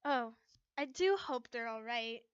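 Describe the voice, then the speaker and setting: A woman's voice: fairly high pitch, animated, measured pace, smooth, no echo, muffled recording. A woman voicing a giggly, teasing teenage girl, a best friend in a fan fiction story. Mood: worried.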